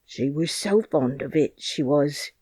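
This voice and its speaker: A woman voicing a crabbed, stubborn old gardener with a Yorkshire dialect, speaking dryly and slowly. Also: deep voice, expressive, darkish tone, slightly rough, no echo, full character voice. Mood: wistful. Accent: Yorkshire dialect, put on. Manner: dry, grumbling, slow. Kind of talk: audiobook character reading.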